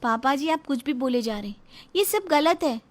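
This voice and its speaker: महिला द्वारा निभाई बेबाक जवान बहू, बहुत ऊँची आवाज़. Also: female voice, sits high, expressive, normal speed, pitched above the performer's own voice. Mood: indignant. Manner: indignant, argumentative. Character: outspoken, bold young daughter-in-law. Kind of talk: acted dialogue in a moral story.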